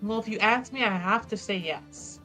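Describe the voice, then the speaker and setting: A woman plays a protective, dutiful hostess with a bright, clear-edged voice. She is wry and measured in an improvised tabletop game, with faint music behind. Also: mid-range voice, close to an everyday voice, poor audio. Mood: reluctant, polite.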